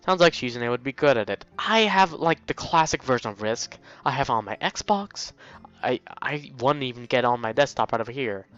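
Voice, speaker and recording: A man's voice: mid-range voice, animated, moderate pace, a bit bright. A young man reading a visual novel aloud as a let's play host, playfully commenting and stumbling over lines. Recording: dull, lo-fi mic with music. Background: game music.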